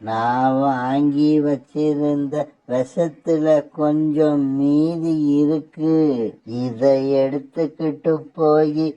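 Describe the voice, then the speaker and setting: A man voicing a scheming older male bird uncle in a cartoon, talking in a slow, drawn-out way. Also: on the high side, flat delivery, warm tone. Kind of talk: cartoon voice acting. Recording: dull lo-fi recording.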